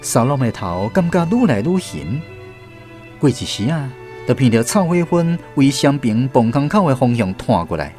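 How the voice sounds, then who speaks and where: A man's voice: medium pitch, sing-song, moderate pace, over music. A man with a Taiwanese accent narrating a literary radio drama.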